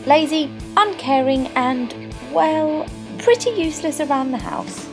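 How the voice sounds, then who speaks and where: A woman's voice: sits high, lively intonation, measured pace. A young woman playing a sardonic narrator of a comic fairytale, wry and knowing in her scripted narration. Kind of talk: scripted storybook-style narration. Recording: music bed under voice-over.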